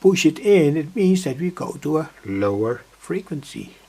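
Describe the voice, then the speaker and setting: A man giving an unscripted electronics demonstration, halting as he searches for words, with a husky, creaky voice. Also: fairly high pitch, very animated, darkish tone. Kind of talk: unscripted workbench demonstration vlog. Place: indoor hobby workbench.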